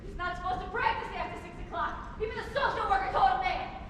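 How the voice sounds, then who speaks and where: A woman's voice: extremely high pitch, fast talker, a bit bright. A woman on stage playing a bitter, volatile alcoholic wife and mother with an accusing, dramatic delivery. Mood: agitated, brooding. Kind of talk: theatrical stage acting. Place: school theatre stage. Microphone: distant room microphone. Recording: echoey hall, distant mic, noisy.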